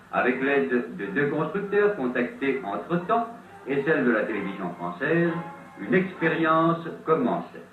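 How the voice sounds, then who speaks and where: A thin, phone-like old soundtrack carries a male narrator with a lively, swooping voice. He tells his scripted story like a vintage French newsreel, with dramatic pauses. The sound is roomy, with faint music behind. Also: on the high side. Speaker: documentary narrator. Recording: old tinny band-limited film soundtrack, roomy, distant.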